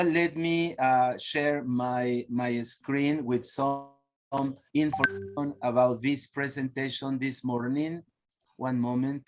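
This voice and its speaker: A man's voice: mid-range voice, slight background noise, low-quality recording. A man with a Peruvian accent hosting an online meeting over a video call, chatty and filling time while his screen loads. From Peru. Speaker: host of online teachers' meeting.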